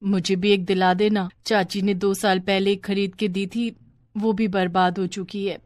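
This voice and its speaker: A woman's voice: medium pitch, flat delivery, measured pace, a little mellow, no room sound, lightly acted. Lady doing a meek poor young girl in a bedtime story, sweet and wistful.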